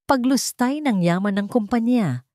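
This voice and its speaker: A serious male attorney delivers his words gravely and with measured weight in a storybook tale, voiced by a woman. The recording is dry and close. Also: lively intonation, dark tone, dry recording. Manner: low and heavy, grave.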